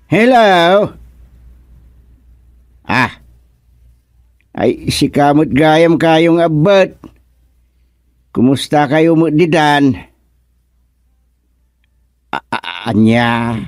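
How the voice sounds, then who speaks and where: An actor playing an easygoing older village husband in a comic radio serial, drawling and chuckling with lots of grunts. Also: male voice, a little high, a little mellow, dry recording, clean studio sound, exaggerated voice. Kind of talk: comic radio drama acting.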